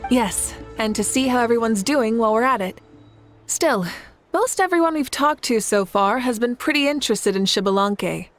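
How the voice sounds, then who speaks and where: A woman's voice: on the high side, animated, normal speed, faint music behind, close to an everyday voice. An actress playing a composed, confident young female leader in a video game, poised and wryly amused. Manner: poised, wry.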